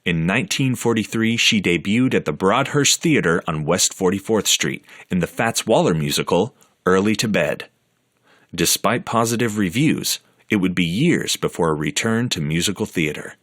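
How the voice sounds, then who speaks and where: A man narrating a documentary in a measured, scripted storytelling manner. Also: low voice, animated, a bit bright.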